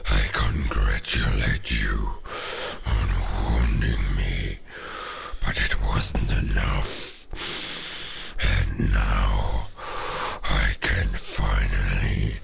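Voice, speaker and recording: An actor playing a proud, menacing werewolf king in an audio drama, speaking in a halting, grandiose, formal growl. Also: level tone, background noise, full character voice. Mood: hateful gloating. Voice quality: very deep, gravelly growl. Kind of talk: cinematic audio roleplay acting.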